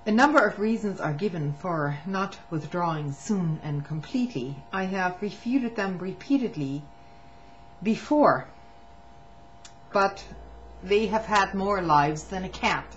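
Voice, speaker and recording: A woman reading a written testimony aloud in a measured way, now and then stumbling and restarting a phrase. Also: medium pitch, animated. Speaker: reader of a public document. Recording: muffled lo-fi recording with faint hum.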